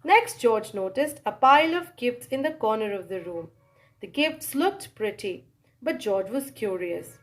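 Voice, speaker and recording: A woman reads a children's story aloud with expressive, animated narration and dramatic pauses and exclamations. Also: fairly high pitch, soft background music.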